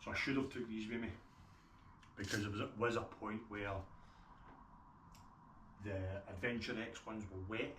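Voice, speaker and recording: A man's voice: fairly low pitch, a bit bright. A man with a broad Scottish accent giving a casual, unscripted gear review in a plain-spoken way. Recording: roomy echo, distant mic, clear background noise.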